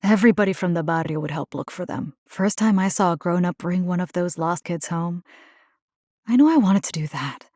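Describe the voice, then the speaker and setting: A resolute young vampire fighter from a video game, acted by a woman, with a lowish voice in a dry, close recording. She is earnest and caring, speaking plainly and conversationally with determination and a wry edge. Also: normal speed, a little mellow, no echo, natural voice. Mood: earnest, determined.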